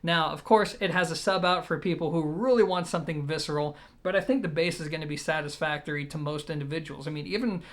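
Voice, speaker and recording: A man with a notably high-pitched voice talks through a gear review for his viewers. His delivery is conversational and methodical, taking one point at a time as he explains what a product offers. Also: quick pace, few pauses.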